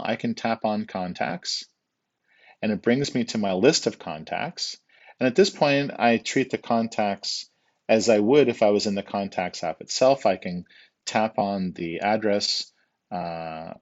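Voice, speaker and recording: Male tutorial instructor, fairly low register, patient and informal step-by-step delivery, mildly lo-fi sound.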